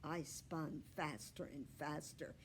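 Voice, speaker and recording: A woman reading a children's storybook aloud in an animated, expressive way. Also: deep voice, slow pace, breathy edge.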